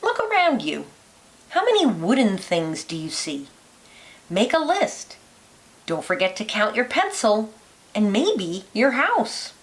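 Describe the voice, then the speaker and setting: A woman reading a children's nonfiction picture book aloud like a teacher, with deliberate, lilting emphasis. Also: mid-range voice, huge pitch swings.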